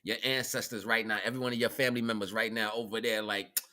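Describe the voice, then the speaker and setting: Bright-voiced American man, informal spiritual monologue. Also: fairly low pitch, fast talker. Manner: animated, colloquial, preaching with repeated phrases. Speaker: livestream host.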